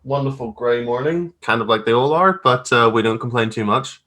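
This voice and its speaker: A man's voice: medium pitch, a little fast, pushed and bright. A man in his thirties with a Belfast accent, telling anecdotes in an informal, rambling, self-deprecating way. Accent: Belfast, Northern Irish. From Northern Ireland. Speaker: podcast guest, graphic artist. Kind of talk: casual chat, anecdotes.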